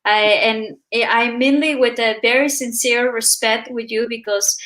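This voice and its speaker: Halting higher-voiced woman host. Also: fairly even intonation, a bit bright. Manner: halting, many fillers, admiring. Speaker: talk show host. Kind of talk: interview questions.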